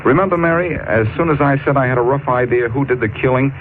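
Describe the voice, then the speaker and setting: An actor playing a shrewd, composed, wisecracking detective, brisk and dry in a 1940s radio drama. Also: male voice, mid-range voice, natural voice. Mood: wry, relaxed. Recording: tinny, noisy 1940s radio recording.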